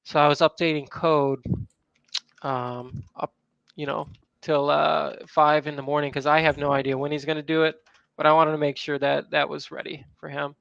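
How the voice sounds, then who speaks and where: A man's voice: on the high side, flat delivery, moderate pace, vocal fry, a bit bright, clean tone, no room sound, highs cut off. A man who is a guest on a live show and a game developer, talking casually and hesitantly, with lots of fillers and restarts. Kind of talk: casual chat.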